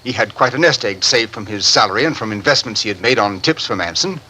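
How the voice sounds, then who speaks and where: An actor playing an earnest, dignified judge in an old-time radio drama, measured and a little hesitant. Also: male voice, on the low side, lively intonation, pushed and bright, slight background noise, poor audio. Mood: earnest, explanatory. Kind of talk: 1950s American radio drama acting.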